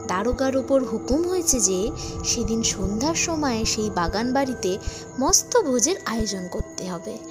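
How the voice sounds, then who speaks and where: Expressive high-pitched woman storyteller, music behind. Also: moderate pace. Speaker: storyteller, narrator. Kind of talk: scripted audiobook narration of a children's folk tale.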